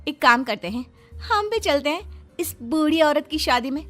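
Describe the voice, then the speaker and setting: A woman's voice: sits high, expressive, normal speed, fairly bright tone, pitched above the performer's own voice. A woman voicing a judgmental, nosy housewife in a cartoon story, exclaiming with disapproval. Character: judgmental, gossipy housewife, nosy and easily scandalised. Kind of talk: Hindi cartoon story dubbing. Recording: music behind the voice.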